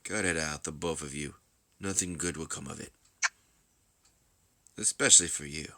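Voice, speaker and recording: A man playing a calm, stoic second-in-command in a game story, quiet and restrained. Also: very deep voice, normal speed, slight background noise, pitched below the performer's own voice, exaggerated voice. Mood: quietly warning.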